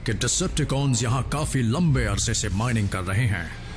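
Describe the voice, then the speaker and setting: A man voicing a calm robot leader who gives orders in a dubbed cartoon. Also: mid-range voice, a little slow, loud music behind the voice, lightly acted. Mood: commanding. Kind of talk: Hindi cartoon dub.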